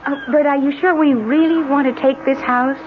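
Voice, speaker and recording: An actress playing an enthusiastic but uneasy city wife and mother, expressive, in a 1970s radio drama. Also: sits high, normal speed, natural voice. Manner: expressive, exclamatory. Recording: noisy, thin, narrow-band 1970s radio broadcast.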